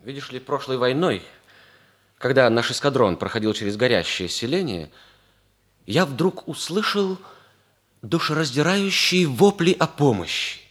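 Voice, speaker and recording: An actor playing a noble, lovelorn young cavalry officer in a theatrical, sighing manner in a 1960s radio comedy. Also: male voice, mid-range voice, expressive, unhurried, a bit bright. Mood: grave. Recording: 1960s radio play with music behind.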